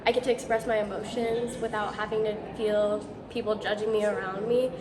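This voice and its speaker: A woman's voice: medium pitch, flat delivery, a little fast, noisy background. A teenage girl talking earnestly about art, with many "like" fillers. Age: teens. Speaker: art student. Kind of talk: interview answer. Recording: echoey hall with loud ambience.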